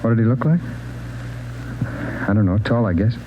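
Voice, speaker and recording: A man's voice: fairly low pitch, expressive, normal speed, lightly acted. Man playing a jittery, stammering drifter, muffled recording. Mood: defensive, rattled. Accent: American. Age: 30s. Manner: stammering, evasive, repeats questions back. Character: jittery, evasive male drug-addict drifter under questioning. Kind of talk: 1940s radio crime drama acting. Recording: muffled, noisy old radio recording.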